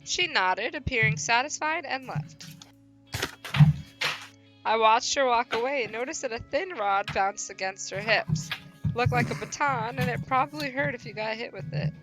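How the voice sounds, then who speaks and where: A woman's voice: on the high side, moderate pace, fairly bright tone, soft background music, rough recording. A woman hosting a game livestream, chatting casually in slang and reading a visual novel aloud. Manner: playful, slangy, exclaiming. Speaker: livestream host and game player.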